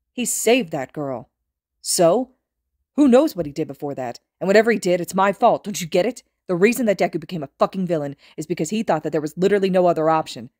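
A woman voicing a crying teenage boy hero, shouting with guilt in a read-aloud story. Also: sits low, breathy edge, no room sound, clean studio sound.

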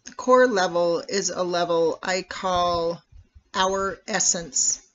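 A meditation guide speaking in a measured, instructional way with long pauses between phrases. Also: medium pitch, steady tone, a bit bright. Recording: dull, lo-fi recording.

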